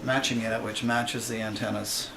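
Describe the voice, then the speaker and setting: A man gives an informal explanatory walkthrough of a radio station, speaking in a halting, matter-of-fact way. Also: on the low side, little pitch movement, gravelly. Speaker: radio club member giving a station tour. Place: indoor amateur radio station room. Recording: roomy, noisy recording, distant microphone.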